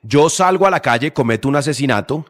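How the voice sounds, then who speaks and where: A man's voice: mid-range voice, lively intonation, quick pace, a bit bright. Guy arguing his point on a radio panel, keeps pushing, says insisto a lot. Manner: insistent, argumentative. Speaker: debate panelist.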